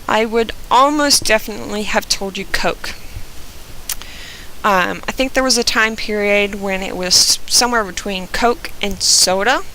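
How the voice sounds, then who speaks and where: A young woman from Georgia with a softened Southern accent, chatting casually through an accent tag video with frequent "um" fillers. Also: medium pitch, vocal fry, a little hiss or hum, low-quality recording. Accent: Southern US, softened by years in Oregon. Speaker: vlogger.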